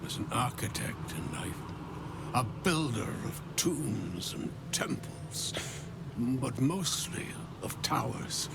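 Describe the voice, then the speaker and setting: An actor playing a weary, dignified damned architect in a fantasy game, solemn and halting, often sinking to a near-whisper. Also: male voice, a little high, gravelly, very noisy, heavily acted. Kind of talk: dramatic video game acting. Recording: prominent music behind the voice.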